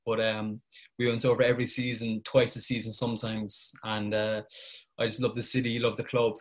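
Young Irish guest with a Cork accent, rapid and flat-toned, casual and chatty. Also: male voice, medium pitch. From Ireland. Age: 20s. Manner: chatty, informal, peppered with "like". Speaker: guest journalist. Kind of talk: casual podcast chat.

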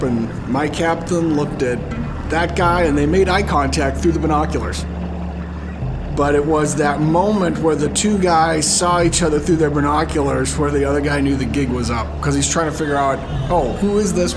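Middle aged american navy guy telling a story, plain spoken and chatty, music going behind him. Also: medium pitch. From Texas, USA. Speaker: navy admiral telling his own story. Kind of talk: casual first-person storytelling for a podcast.

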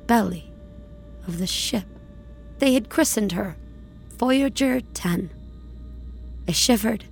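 A woman playing an uneasy space colonist, narrating her journey in a measured, deliberate way for an audio drama. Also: medium pitch, gravelly, a little mellow, breathy edge, full character voice. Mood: wistful. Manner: measured, deliberate, brooding delivery. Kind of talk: scripted audio drama narration, first-person.

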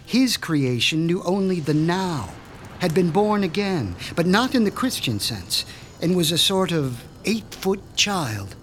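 A man's voice: sits high, very animated, fairly bright tone, full character voice. A man playing a sinister, pompous mad scientist who boasts of his revenge, in a radio drama, with faint music underneath. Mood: menacing. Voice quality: measured, menacing, pompous. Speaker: Dr. Stein.

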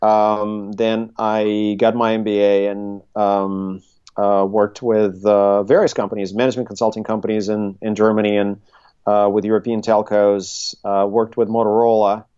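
A middle-aged man, speaking conversationally as an interview guest and haltingly thinking his way through his answers. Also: fairly low pitch, level tone, dark tone, smooth, highs cut off. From Russia, Soviet Union. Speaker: interview guest, tech consultancy CEO. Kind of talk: conversational interview, recounting career.

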